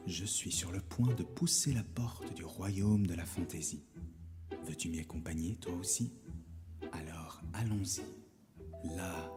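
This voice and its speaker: A man narrating a fairy tale for children as a storyteller, in a theatrical, expressive manner. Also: deep voice.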